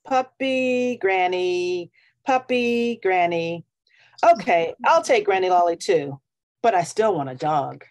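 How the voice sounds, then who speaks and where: A woman's voice: on the low side, very animated, moderate pace, darkish tone. A woman voicing a whiny, sulky and dramatic young girl in a children's storybook. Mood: playfully torn.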